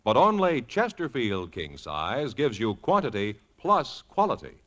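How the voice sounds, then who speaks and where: A dull, band-limited vintage radio recording carries a male announcer reading a sponsor spot. His medium-pitched voice moves in animated swings, in polished 1950s advertising style. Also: a little slow.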